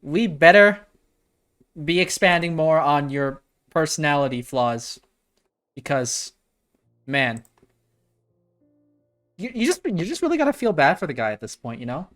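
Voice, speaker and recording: A man's voice: on the high side, very animated, a little slow, long pauses, a bit bright, faint music behind. A young man giving casual, slangy playthrough reaction commentary, talking straight to the characters. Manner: informal, slangy, addresses the characters directly. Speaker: gaming reactor.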